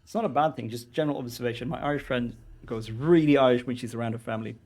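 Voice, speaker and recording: A man's voice: medium pitch, fast, darkish tone. A man with a Swedish accent, a teacher and game streamer chatting casually, rambling and hesitant with many ums and restarts.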